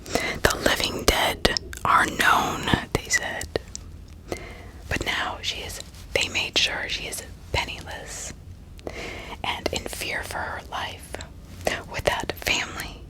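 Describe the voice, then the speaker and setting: A woman narrating true-crime stories in an ASMR style, in a breathy, unvoiced whisper with sympathetic, dramatic storytelling. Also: takes it slowly, long pauses, poor audio. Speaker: ASMR host.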